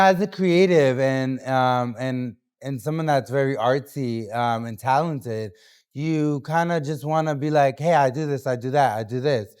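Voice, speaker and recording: A man's voice: on the high side, a little mellow, clean tone. A young man from New Hampshire talking loosely as a guest on a podcast, with lots of fillers and restarts. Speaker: guest artist. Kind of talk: casual podcast interview.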